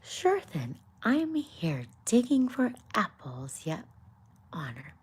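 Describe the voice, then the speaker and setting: A woman voicing a fumbling male servant in a high, squeaky voice with a clumsy put-on accent. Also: steady tone, extremely slow, gravelly, breathy edge, heavily acted. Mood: sheepish.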